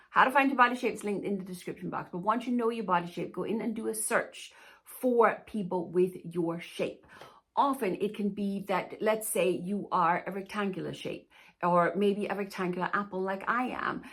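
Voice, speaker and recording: A woman's voice: mid-range voice, fairly bright tone. Chatty Danish-born woman vlogger. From Denmark. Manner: chatty and reassuring, often says "to be honest with you". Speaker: plus-size fashion stylist and YouTuber. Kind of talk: casual Q&A vlog chat.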